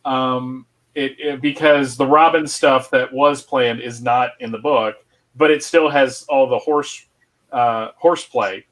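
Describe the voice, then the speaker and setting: A man's voice: medium pitch, fairly even intonation, measured pace, fairly bright tone, rough recording. A man explaining casually as a panelist, searching for words in a stop-start way. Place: remote online panel recorded at home.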